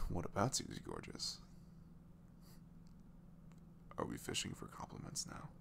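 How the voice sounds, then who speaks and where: A man playing a sweet, earnest single dad in an intimate ASMR roleplay, soft and hesitant, often dropping to a whisper. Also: very deep voice, vocal fry, a little hiss or hum, full character voice. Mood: shy, flirtatious. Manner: hesitant, gentle, murmured.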